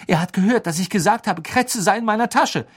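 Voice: medium pitch, fairly quick, husky, dry recording, studio quality, pitched above the performer's own voice, natural voice. A narrator voicing a hot-headed, grumpy teenage schoolboy in an audiobook, blunt, irritable and complaining. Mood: angry. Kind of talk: audiobook character acting.

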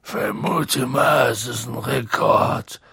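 A huge storybook gamekeeper, tipsy and full of feeling. The voice is gravelly and rough, with slurred, folksy speech that drops words. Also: male voice, medium pitch, fairly even intonation, slow pace, sharp tone, no echo, clean studio sound, heavily acted. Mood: slurred, glum. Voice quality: gruff, slurred. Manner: colloquial, dropping words, swinging into bellows. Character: huge, tipsy, emotional male gamekeeper, gruff and loving.

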